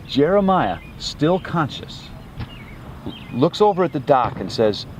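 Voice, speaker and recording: A man working as a tour guide, telling a dramatic history story to tourists in deliberate, short phrases. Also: a little high, very animated. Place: outdoors on a battlefield. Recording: clear outdoor ambient noise.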